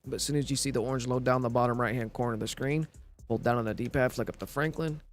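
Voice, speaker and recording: A man with a scratchy, slightly worn voice talks through a video game tutorial step by step. His pitch sits in an ordinary middle range, and faint music plays underneath. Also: fairly even intonation, fast talker. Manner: instructional, walks through steps plainly. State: scratchy voice from recovering illness. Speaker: gaming tutorial narrator.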